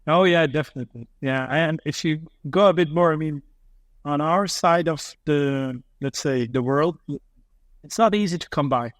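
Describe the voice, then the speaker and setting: A man's voice: fairly high pitch, normal speed, dry recording. A man from Afghanistan, a guest on a podcast, talking casually and acting out investors' thinking in quoted asides.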